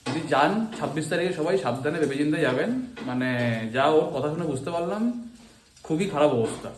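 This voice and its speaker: A man's voice: fairly high pitch, a little fast, echoey room. A man with a West Bengal Bengali accent chats casually to a vlog audience, often repeating short phrases. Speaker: vlogger. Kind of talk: casual home vlog chat. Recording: clearly noisy handheld phone recording.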